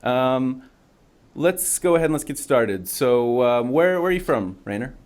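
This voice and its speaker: A man's voice: mid-range voice, expressive, a little slow, darkish tone. A man hosting an interview, asking questions with many ums and repeated words. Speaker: interview host. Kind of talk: casual interview.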